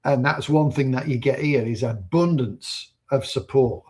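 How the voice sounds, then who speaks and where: A man in his fifties co-hosting a casual livestream. His voice is husky and sits at a middle pitch. He tells stories in an easygoing, rambling way. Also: measured pace, a little mellow. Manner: easygoing storyteller, rambling with fillers. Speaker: livestream co-host.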